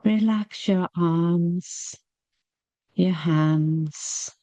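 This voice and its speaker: Very slow, mellow woman guiding meditation. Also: on the low side, dry recording. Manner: soothing, gentle, unhurried with long pauses. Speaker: meditation guide. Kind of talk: guided meditation.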